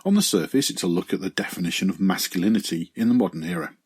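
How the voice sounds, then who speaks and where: A man's voice: low voice, animated, fast talker, husky. A middle-aged man delivering a scripted review segment on a podcast in an earnest, reflective way.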